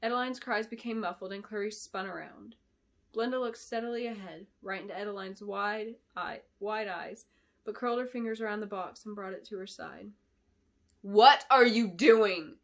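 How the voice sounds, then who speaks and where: A woman narrating a fantasy novel in an expressive, deliberate storytelling style, as an author reading aloud. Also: medium pitch. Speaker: author reading her book. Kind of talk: dramatic scripted fantasy narration.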